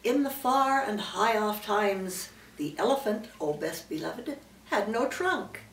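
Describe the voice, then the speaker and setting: A woman's voice: medium pitch, expressive, slow pace. Elderly woman reading aloud in a roomy space. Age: older, great-grandmother. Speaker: storyteller reading to a child. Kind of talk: read-aloud children's storybook narration with asides. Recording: roomy, distant mic, faint background noise.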